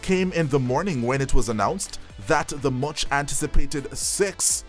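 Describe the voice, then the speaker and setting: A man's voice: mid-range voice, measured pace, faint music behind. A man reading scripted news narration as an entertainment reporter.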